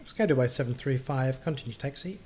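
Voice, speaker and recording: A man's voice: mid-range voice, fairly quick, darkish tone. A man hosting a gaming livestream, chatting casually and hesitantly between air traffic controller calls. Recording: clearly noisy background.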